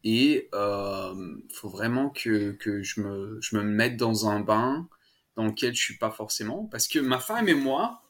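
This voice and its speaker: A man's voice: fairly low pitch, lively intonation, unhurried, a bit bright. A man from France, a father as interview guest, chatting casually with many fillers, restarts and laughs. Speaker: guest, French-speaking father.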